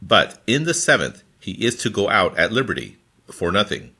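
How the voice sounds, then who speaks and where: A man reading scripture from a formal translation in a deliberate, phrase-by-phrase manner. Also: on the low side, big pitch swings, sharp tone. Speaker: scripture reader.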